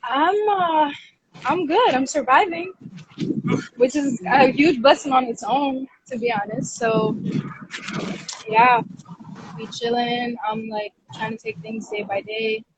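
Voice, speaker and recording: A nineteen-year-old woman from Sudan chats casually and warmly as a guest on a video call. Her voice sits slightly high. Wind and clear noise run under the call audio from outdoors. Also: slow pace, long pauses. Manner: friendly, relaxed, slangy. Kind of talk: casual chat. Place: outdoors, windy. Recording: noisy windy video call.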